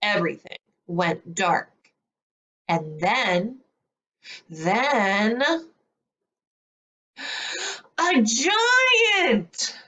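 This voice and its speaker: A woman's voice: medium pitch, sharp tone, heavily acted. Woman as storybook bad seed: brazen, confessional, theatrical, with swooping intonation. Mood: dramatic recounting. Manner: dramatic, confessional storybook delivery with drawn-out words. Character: self-declared bad little seed, male, brazen storybook narrator.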